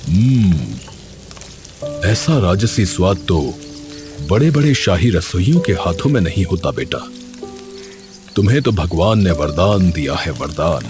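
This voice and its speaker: A man plays a senior royal kitchen overseer in an animated tale, stern yet kindly. The voice is low and swings expressively, taking its time over weighty questions. Music plays faintly underneath. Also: measured pace, pauses often. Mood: impressed, fatherly. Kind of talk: Hindi animated story dialogue.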